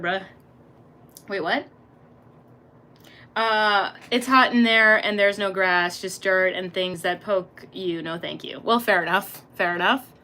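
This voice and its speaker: A young woman live-streaming, chatting casually and reacting to her chat in a rambling, slangy, animated way. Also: medium pitch. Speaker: livestreamer reading chat.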